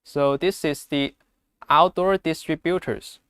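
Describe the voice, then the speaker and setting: Slow-paced, very clear man presenting products. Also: on the high side, fairly even intonation, a bit bright, no echo, clean studio sound. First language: not English. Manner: halting, step-by-step explaining with repeated phrases. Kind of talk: unscripted product demonstration.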